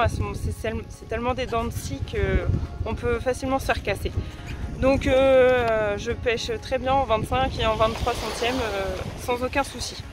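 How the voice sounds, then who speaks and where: A woman in her thirties from France, talking casually to camera on a beach, hesitant with many fillers and restarts. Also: a little high. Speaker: sea angler explaining her rigs. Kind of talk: casual gear explanation to camera. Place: outdoors on a beach by the sea. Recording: music playing underneath.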